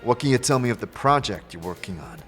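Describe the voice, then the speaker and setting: A man's voice: mid-range voice, expressive, quick pace, a bit bright, soft background music, natural voice. A man playing a firm, blunt adventurer questioning people in a fantasy audio drama. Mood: probing.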